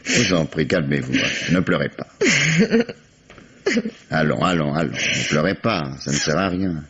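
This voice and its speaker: An actor playing a dry, ironic police commissioner in a 1950s radio drama. He asks his questions in a teasing, unhurried way. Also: rumbling low, fairly bright tone, slightly rough, heavily acted. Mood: probing. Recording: dull old radio drama recording.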